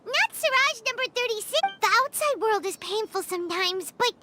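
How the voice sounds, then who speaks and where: A woman voicing a tiny, childlike, loyal and feisty fairy companion in a video game, with squeaky, exclaiming delivery. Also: expressive, normal speed, heavy background noise. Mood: shocked, pleading. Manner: emphatic, exclaiming, speaks in third person. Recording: loud game music underneath.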